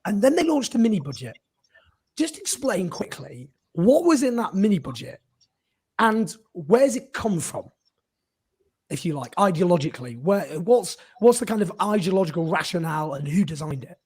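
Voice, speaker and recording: A hoarse man hosts a casual political talk show, emphatic and indignant, with ums and repeated words. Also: high voice, big pitch swings, normal speed, a little mellow. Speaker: political commentator and show host. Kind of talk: casual political monologue to camera.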